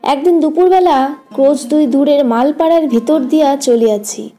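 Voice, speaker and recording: A woman's voice: sits high, normal speed, dark tone. A woman reading a literary story as an audiobook narrator, in a scripted storytelling style. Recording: guitar music behind the voice. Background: plucked guitar music.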